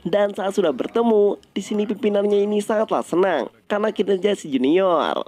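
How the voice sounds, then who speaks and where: A man's voice: high-pitched, fairly quick, darkish tone, soft background music. A man narrates a film recap in a casual, scripted style, addressing viewers directly in colloquial speech. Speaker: movie recap host.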